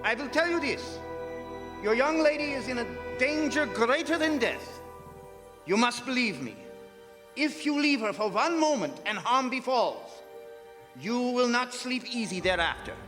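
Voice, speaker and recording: A man's voice: extremely high pitch, lively intonation, unhurried, bright, forceful tone, faint music behind, full character voice. A man playing a grave, urgent old professor of medicine in a radio drama. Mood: grave, ominous. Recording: echoey hall, live stage performance.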